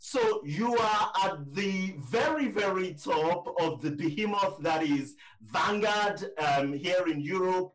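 A man's voice: high voice, animated, slow, hardly any pauses, fairly bright tone, distant mic, poor audio. A man hosting a podcast interview in an enthusiastic, emphatic, conversational manner.

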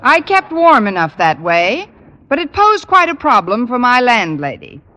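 Sardonic woman schoolteacher, high bright voice, dry wisecracks, old radio sitcom. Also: very animated, normal speed. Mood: dry, wry. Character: wry, put-upon woman high school English teacher. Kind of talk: old-time radio situation comedy acting. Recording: old radio recording in reverberant hall.

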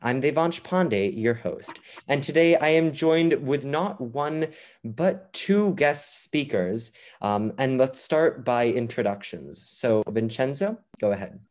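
A man's voice: medium pitch, expressive, slow, darkish tone. A young man hosting a podcast, upbeat and reading prepared questions to his guests. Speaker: podcast host.

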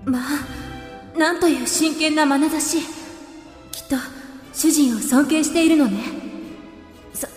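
A haughty young noblewoman in a radio drama. Her voice is high and refined, her speech formal and commanding, though she is easily smitten. Music plays behind her. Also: a little slow, pauses often, a little mellow. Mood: smitten, flustered.

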